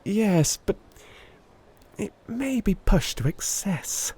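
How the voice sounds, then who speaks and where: A man voicing a hesitant, gossipy young gentleman with emphatic, animated delivery in a dramatised Victorian audiobook. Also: high-pitched, takes it slowly, breathy edge, pitched above the performer's own voice, full character voice. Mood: uneasy, confiding.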